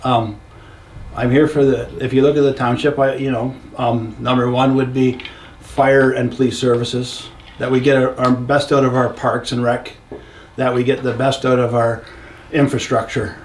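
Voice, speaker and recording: An older man from Canada with a Canadian accent, giving plainspoken, blunt and somewhat halting answers as a local council candidate. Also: mid-range voice, little pitch movement. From Norwich, Ontario, Canada. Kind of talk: informal interview answers. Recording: distant, echoey, noisy room sound.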